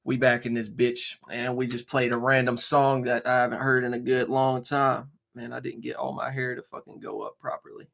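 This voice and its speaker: A man hosts his own channel in a relaxed, cursing monologue. He keeps circling back with filler phrases as he explains himself. His voice sits at an ordinary middle pitch. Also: normal speed, gravelly, hardly any pauses. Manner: loose and profane, with filler phrases. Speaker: host and tarot reader. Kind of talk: casual talk monologue to viewers.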